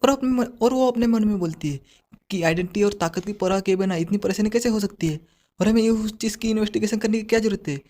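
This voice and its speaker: A hoarse man retelling a comic story in a rapid, chatty way, dropping in English words. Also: sits high, darkish tone. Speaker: manhwa recap narrator. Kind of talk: casual storytelling recap.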